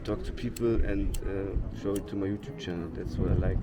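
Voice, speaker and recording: A man's voice: low voice, steady tone. A man in his thirties with a German accent, a vlogger chatting casually with strangers and searching for his words. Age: 30s. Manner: halting, searching for words, frequent restarts. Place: outdoors at a beach. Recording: outdoor crowd chatter. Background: crowd noise.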